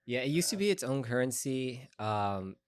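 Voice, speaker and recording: A man's voice: mid-range voice, sing-song, moderate pace. A young American man answering questions casually as a guest on a podcast. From Chicago, United States. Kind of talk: casual conversational interview answers.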